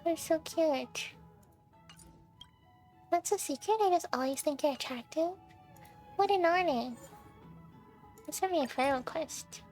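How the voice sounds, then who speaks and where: A woman's voice: high voice, measured pace, pauses often, a little mellow, faint music behind. A woman livestreaming a video game with casual, playful chat, often musing aloud to her viewers.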